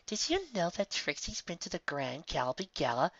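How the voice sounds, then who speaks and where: A man's voice: fairly high pitch, wildly swooping pitch, moderate pace, a bit bright, dry recording, lo-fi sound, voice pushed up, full character voice. A man voicing a teasing, chatty female pony in a storybook reading, with a sly, gossipy, needling manner. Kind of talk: audiobook character acting.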